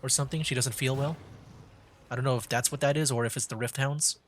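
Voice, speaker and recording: A man's voice: medium pitch, steady tone, fast, noisy background. A man giving casual live commentary as he streams a video game. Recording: game music behind voice. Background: video game music.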